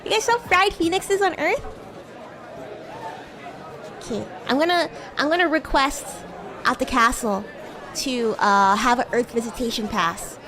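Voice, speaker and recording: A woman livestreaming in character as a bratty, playful young demon classmate, chatting in a giggly way with drawn-out, hesitant words. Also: sits high, a crowd in the background. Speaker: VTuber streamer.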